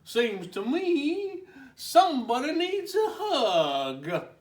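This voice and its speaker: Man as storybook cowboy, teasing, amused, drawn-out words, high for a man, roomy. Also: huge pitch swings, full character voice. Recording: roomy echo, distant microphone.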